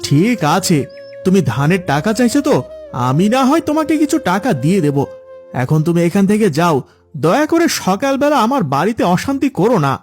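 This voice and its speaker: A man's voice: high voice, big pitch swings, a little fast. A man playing an irritable, evasive grown son in a cartoon story, with a complaining delivery. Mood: dismissive. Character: neglectful adult son, irritable, evasive, hard-up. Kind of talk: cartoon moral story dialogue. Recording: music under the voice.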